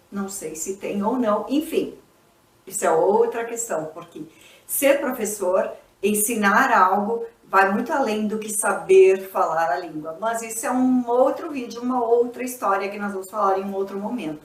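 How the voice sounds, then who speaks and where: A woman's voice: medium pitch, recorded from a distance. A young adult woman, an English teacher and native Portuguese speaker, explaining a lesson in a didactic way and often checking in with tag questions. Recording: echoey room, voice a bit off-mic.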